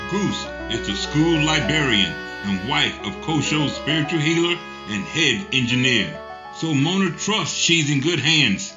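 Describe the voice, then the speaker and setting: A man giving scripted narration for a radio drama, recapping the story in a measured, storytelling manner. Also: on the high side, animated. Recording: dull lo-fi recording with music underneath.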